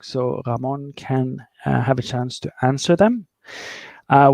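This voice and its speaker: Gravelly man hosting an online meetup. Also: medium pitch, no echo. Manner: relaxed, hesitant with many fillers. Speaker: meetup host.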